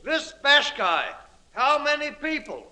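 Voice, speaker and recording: An actor playing a bold, scheming British ex-soldier hungry for a crown, commanding and grandiose, in 1940s radio drama style. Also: male voice, very high voice, sing-song, takes it slowly, bright, forceful tone, heavily acted, poor audio. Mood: imperious, excited. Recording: old 1940s radio broadcast.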